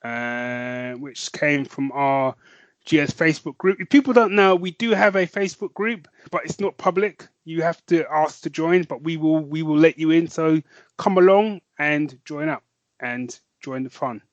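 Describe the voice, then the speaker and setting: A man's voice: on the high side, unhurried, dry recording, highs cut off. Rambling enthusiastic podcast host man. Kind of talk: casual chat.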